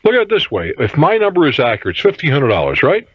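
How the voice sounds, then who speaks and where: A man's voice: fairly high pitch, wildly swooping pitch, quick pace, breathy edge. A man hosting a radio call-in advice show, talking off the cuff in a blunt, argumentative way and readily cutting in. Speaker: radio call-in host giving money advice. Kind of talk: phone-in advice, unscripted conversation. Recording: roomy, dull lo-fi radio tape.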